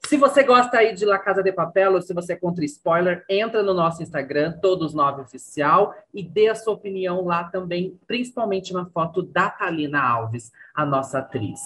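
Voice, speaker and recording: Woman hosting, casual presenting style. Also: fairly low pitch. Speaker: show host.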